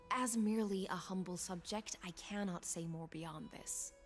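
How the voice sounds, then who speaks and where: An actress playing a loyal, formal female knight commander in a video game, speaking in a measured, courtly way. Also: mid-range voice, over music, natural voice. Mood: proud, humble. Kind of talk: scripted video game character dialogue.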